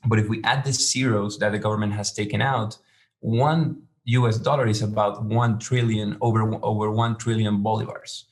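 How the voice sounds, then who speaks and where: Low flat-voiced man. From Venezuela. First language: Spanish. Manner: measured, explanatory, hesitant. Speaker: podcast guest, startup founder. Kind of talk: interview answers.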